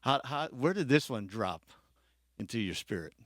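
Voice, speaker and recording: A man's voice: medium pitch, sing-song, normal speed, no room sound, clean studio sound. A man from Hawaii speaking as a podcast guest, telling his story in an informal, rambling, self-correcting way. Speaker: podcast guest, church worship director. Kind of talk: casual personal storytelling, chat.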